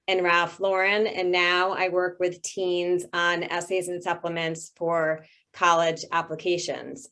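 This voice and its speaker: A middle-aged woman with a lowish voice speaks over a video call. She introduces herself as a candidate at a parent meeting and steadily runs through her experience, with the odd "um". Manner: steady, listing achievements with fillers. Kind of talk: self-introduction at an online meeting.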